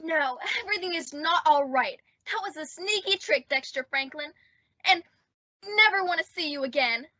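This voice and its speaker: Woman as a high voiced lovestruck teen girl, emphatic and dramatic, old radio comedy, dry slightly dull audio. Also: expressive, normal speed, sharp tone, no echo. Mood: indignant. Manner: emphatic, pleading girlish delivery.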